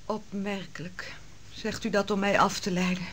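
An actress playing Susanna, a proud noblewoman falsely accused, speaking in a hushed, intense way in a vintage radio drama. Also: mid-range voice, steady tone, normal speed, slight background noise, close to an everyday voice.

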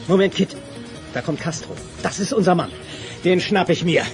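Brash crime fighter, determined, hot-headed, highish voice, music behind. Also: male voice, expressive, moderate pace. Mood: urgent, forceful. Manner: brash, casual. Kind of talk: audio drama acting.

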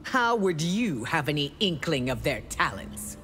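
An actress playing a stern, regal and commanding empress in a video game. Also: female voice, low-pitched, sing-song, a little slow. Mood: stern disdain. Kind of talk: video game fight intro dialogue. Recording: loud music under game dialogue.

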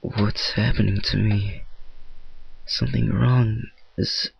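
An amateur male voice actor delivers short character lines at a slow pace. His voice is husky and fairly low, caught in a dull, lo-fi home recording. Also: level tone. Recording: muffled, dry lo-fi home recording.

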